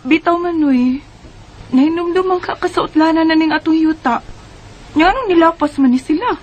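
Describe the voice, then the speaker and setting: Very shrill-pitched complaining Bisaya woman, an actress. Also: normal speed. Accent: Filipino Bisaya. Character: complaining rural woman defending her land. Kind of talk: Bisaya radio drama acting. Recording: dull lo-fi sound.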